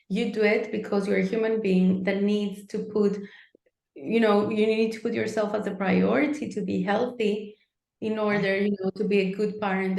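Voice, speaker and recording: Woman, guest therapist, gravelly voice, echoey room, earnest advice. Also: mid-range voice. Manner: calm, earnest, encouraging. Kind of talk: advice-giving interview talk.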